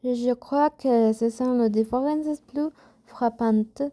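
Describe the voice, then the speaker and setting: A young woman speaks French with a Spanish accent, her voice sitting fairly high but mellow and soft in tone. She talks very slowly, pausing and correcting herself as she works through a prepared answer. The recording is dry and close, with no room sound. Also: dry recording. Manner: slow and hesitant, with pauses and self-corrections. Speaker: language student. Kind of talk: prepared spoken assignment answering questions.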